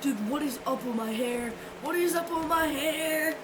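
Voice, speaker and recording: A woman's voice: high-pitched, a little slow. A woman chatting casually as she reacts to videos, tossing out short, slangy, joking remarks. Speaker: reaction streamer watching scary videos. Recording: heavy background noise.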